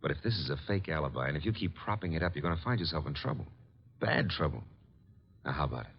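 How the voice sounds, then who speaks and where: Low male voice, tough American gumshoe, rapid patter, slangy, wry, old radio drama. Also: very animated, vocal fry, full character voice. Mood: coaxing, threatening. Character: brash, wisecracking tough private detective's legman. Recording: dull, band-limited vintage radio recording.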